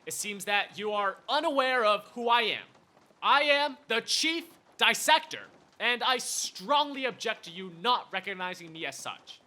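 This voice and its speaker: A man's voice: sits high, expressive, unhurried, pushed and bright, exaggerated voice. A man playing a pompous, unhinged, self-important official in a radio play, declaiming in a grandiose, melodramatic way. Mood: pompous, commanding. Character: pompous, unhinged, guilt-wracked chief dissector, theatrically self-important. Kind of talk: theatrical radio play acting.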